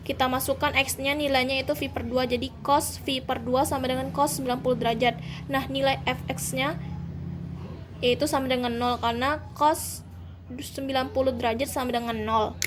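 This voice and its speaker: A young woman, a university student, working through calculus problems step by step, pausing to correct herself. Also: high voice, fairly even intonation, a little hiss or hum. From Indonesia. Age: young adult. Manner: methodical, step-by-step, self-correcting. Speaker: university student explaining homework. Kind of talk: calculus walkthrough.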